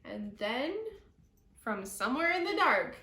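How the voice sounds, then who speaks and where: High-voiced woman reading a children's story. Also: big pitch swings, sharp tone. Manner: deliberate, dramatic phrasing. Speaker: storyteller reading a picture book aloud. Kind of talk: expressive children's story narration. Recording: roomy echo.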